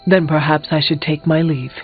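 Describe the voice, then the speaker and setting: An actor playing a solemn young warrior hero in a video game, speaking in a measured, formal and earnest way. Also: male voice, fairly high pitch, level tone, lightly acted. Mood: restrained. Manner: measured, formal, avoids contractions. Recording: thin phone-like game audio, music behind.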